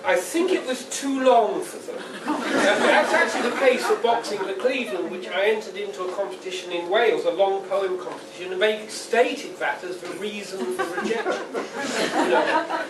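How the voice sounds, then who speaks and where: A man speaking as a poet at a live reading, with a deliberate, dry and wry delivery, recorded indoors at a distance. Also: high voice, animated, crowd noise. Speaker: poet reading at a book launch. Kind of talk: public poetry reading with informal introduction. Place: indoor pub venue at a live launch event. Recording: echoey, noisy live room, distant mic.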